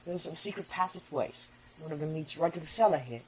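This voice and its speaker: Lively, defiant schoolboy, played by an actor. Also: medium pitch, fast, lightly acted, low-quality recording. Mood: defensive. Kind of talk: amateur audio drama acting. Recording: faint background noise.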